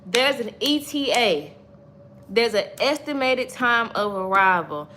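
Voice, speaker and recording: A woman's voice: a little high, lively intonation, slow pace, bright, forceful tone, slight background noise. A woman in her thirties giving an emphatic, repetitive faith-based motivational talk to livestream viewers. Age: 30s. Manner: preachy, emphatic, repeats points, chats with viewers. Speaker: livestream host.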